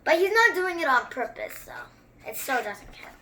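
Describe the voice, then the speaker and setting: Bright, high-pitched, chatty young story reader. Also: moderate pace, slight background noise, poor audio. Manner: chatty, expressive, wanders off into own asides. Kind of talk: children's story time read-aloud with excited running commentary.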